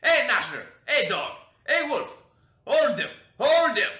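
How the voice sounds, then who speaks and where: A male reader voicing a cantankerous old male farm servant who shrieks in a high voice. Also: huge pitch swings, measured pace, bright, forceful tone, exaggerated voice. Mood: shrieking. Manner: high, shrieking alarm calls. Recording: dull, roomy home recording.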